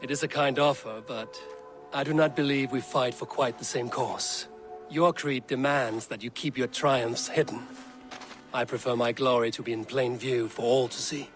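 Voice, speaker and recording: An actor playing a proud, loyal Viking warrior in a video game, speaking in a measured, solemn and formal way. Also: male voice, mid-range voice, steady tone, soft background music, close to an everyday voice. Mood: proud, sincere. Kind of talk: dramatic video game acting.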